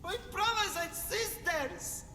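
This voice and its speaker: An actress voicing a grandiose, zealous cult leader in a video game cutscene, theatrical and declamatory. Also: female voice, very high-pitched, expressive, slow pace, pushed and bright, big-room sound, soft background music, heavily acted. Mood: ecstatic, exalted.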